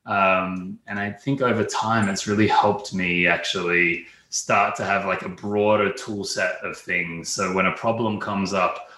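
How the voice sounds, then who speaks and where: Australian man, casual interviewee, crisp bright edge, roomy echo. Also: low-pitched, steady tone, measured pace, hardly any pauses. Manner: informal, frequent "like" and "kind of". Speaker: interview guest, marketing executive. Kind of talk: casual conversation. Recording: echoey room.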